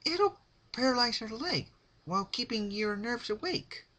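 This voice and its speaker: A man's voice: very high voice, very animated, measured pace, bright, forceful tone, voice pushed up, full character voice. A man voicing a cheerful but sadistic young female pony in a sing-song, mock-sweet way for a dramatic fan-fiction reading. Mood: cheerfully cruel.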